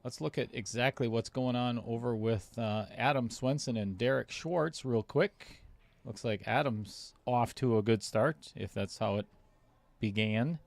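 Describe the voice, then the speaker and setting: A man with a gravelly, creaky voice commentates a pool match. He talks casually and reads viewer comments between shots. The recording is dry and close. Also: medium pitch, measured pace, no echo. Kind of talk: live stream commentary.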